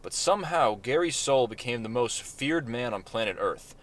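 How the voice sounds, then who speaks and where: A man reading his own short story aloud in a deadpan manner, with self-mocking asides. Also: mid-range voice, normal speed.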